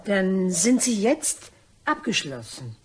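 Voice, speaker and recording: A woman's voice: mid-range voice, very animated, takes it slowly, husky, full character voice. A woman playing a nervous, evasive mademoiselle with guilty secrets in a German crime radio play, in a jumpy, theatrical and probing way. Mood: probing, uneasy.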